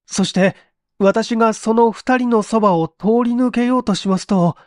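A man voicing an agitated, desperate accused man giving halting, strained testimony in a story reading. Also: sits high, a little mellow, no echo, clean studio sound, pitched above the performer's own voice, lightly acted. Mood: agitated pleading.

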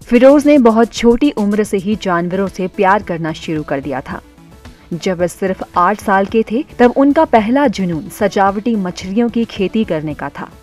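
Female news narrator, steady formal read, soft music bed. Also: mid-range voice, unhurried. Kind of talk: scripted news voice-over.

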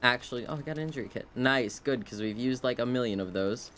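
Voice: mid-range voice, quick pace, a bit bright, a little hiss or hum. A video game streamer giving casual live commentary, rambling and wryly talking to themself and the game. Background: video game sounds.

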